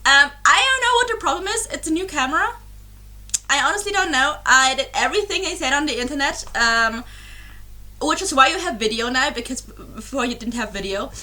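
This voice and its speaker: A woman's voice: high voice, lively intonation, brisk, bright, forceful tone. A young woman, chatting casually to her webcam as a reaction YouTuber, rambling and apologetic with many fillers and giggles. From Germany. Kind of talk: casual chat to camera.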